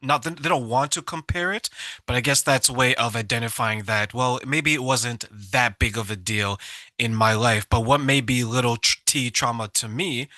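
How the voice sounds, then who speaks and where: A man's voice: medium pitch, moderate pace, bright, forceful tone, no room sound. A man hosting an interview, asking friendly, reflective questions. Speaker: show host. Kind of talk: interview conversation.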